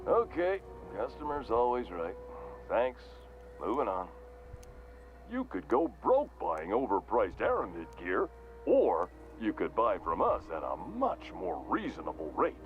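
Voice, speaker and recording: A man's voice: lowish voice, wildly swooping pitch, unhurried, narrow, tinny audio, over music. An actor playing a corporate vendor in a mascot helmet in a video game, with forced salesman patter over weary resignation. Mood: upbeat sales pitch. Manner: forced salesman cheer with slogans, slipping into resignation. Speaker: shop vendor.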